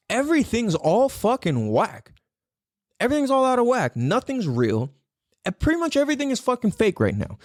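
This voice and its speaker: A man's voice: on the high side, a little mellow, dry recording, studio quality. A man hosting his own podcast in a casual solo talk, swearing freely, laughing often and repeating words for emphasis. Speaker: podcast host.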